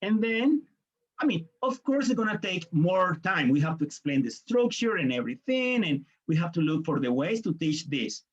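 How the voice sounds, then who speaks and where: A man's voice: sits high, sing-song, normal speed, husky, dull audio. Colombian man leading a teaching workshop. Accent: Colombian Spanish. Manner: explains step by step, briefly voicing students and parents. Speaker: teacher trainer. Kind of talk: online workshop lecture.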